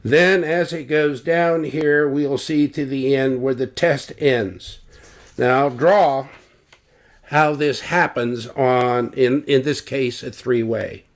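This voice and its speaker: An older man slowly explaining loudspeaker measurements, talking deliberately with fillers and restarts, recorded at home on a webcam. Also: mid-range voice, fairly even intonation. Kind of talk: technical explanation with drawings. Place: home living room, indoors.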